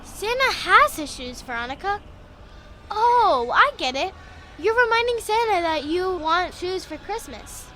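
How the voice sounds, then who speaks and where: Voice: extremely high pitch, expressive, unhurried, sharp tone, a little hiss or hum, heavily acted. A young performer playing an eager, curious little boy in a children's audio drama, chatty and full of questions. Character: excitable, curious, earnest little boy Luke.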